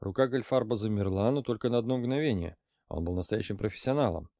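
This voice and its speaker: A man reading an audiobook as narrator, with even, steady storytelling. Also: lowish voice, fast talker, no echo, studio quality. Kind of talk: scripted narration.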